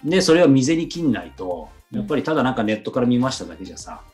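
A man's voice: mid-range voice, big pitch swings, rapid-fire, darkish tone. A man chatting casually as a podcast host, explaining things in a rambling way. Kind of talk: casual chat. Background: background music.

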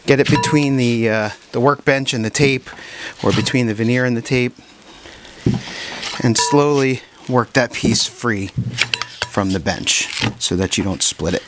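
A man's voice: medium pitch, noisy background. A man teaching hand-tool woodworking in his shop, talking casually with frequent fillers and restarts while he demonstrates. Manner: relaxed, halting, many fillers and restarts.

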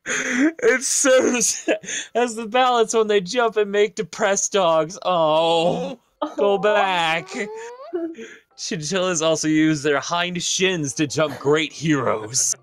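A man's voice: high voice, slow, a bit bright. A man reading aloud playfully among friends in a word game, prone to breaking into laughter.

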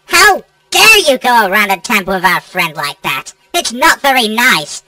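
A woman's voice: on the high side, big pitch swings, a little slow, sharp tone, dry recording, far from the mic, heavily acted. A woman voicing a brash animatronic rapper character, slangy and indignant, in game voice lines.

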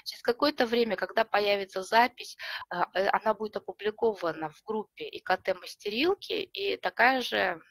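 A middle-aged woman presenting an online webinar from home, explaining a tool step by step in a friendly way with frequent pauses and fillers, a slight cough lingering. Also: medium pitch, animated, no echo. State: recovering from a cough. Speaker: webinar presenter, librarian and teacher. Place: home room with bookshelves. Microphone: webcam video call.

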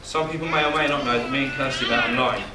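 A man's voice: medium pitch, fairly even intonation, quick pace. A young man giving his groom's speech at a wedding reception, reading his notes, joking and at times emotional. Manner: emotional, voice catching at moments, joking. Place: wedding reception hall, indoors. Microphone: far camera, no mic. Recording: echoey hall, distant camera, loud noise.

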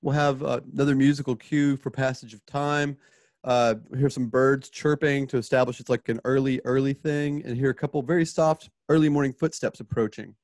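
A man with a medium-pitched voice casually directs actors and describes sound cues. He mouths effects as he goes. The sound is close and dry. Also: brisk, no room sound. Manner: informal, animated with spoken sound effects.

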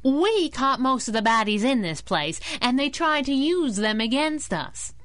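A woman's voice: high voice, big pitch swings, measured pace, a bit bright, exaggerated voice. A woman playing a feisty, wisecracking crime-fighting sidekick in a radio drama, brash and punchy in her delivery. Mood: wry. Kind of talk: 1930s-style radio drama acting.

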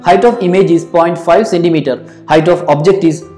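A young man teaching physics to camera, explaining step by step and counting values out loud in a patient, repetitive way. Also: fairly high pitch, a little fast, roomy sound, faint music behind. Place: indoor studio in front of a backdrop. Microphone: clip-on lapel mic.

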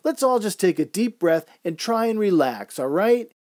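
A man's voice: sits high, voice pushed up. A man voicing a laid-back, peacemaking hippie clown with easygoing slang, in a radio-style story. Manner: easygoing, calm, drawn-out slang.

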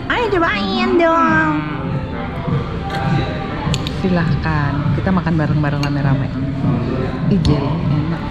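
A woman's voice: medium pitch, highs cut off. A woman chatting casually and with exclamations on a handheld camera vlog. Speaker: vlogger. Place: restaurant with open verandas, and outdoor parking area.

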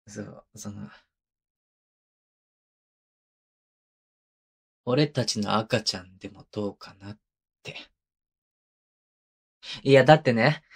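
A male voice actor playing a playful, clingy, childlike young husband in an intimate ASMR drama, coaxing and teasing in a casual, hesitant way. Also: mid-range voice. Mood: coaxing, sheepish. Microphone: close microphone, binaural.

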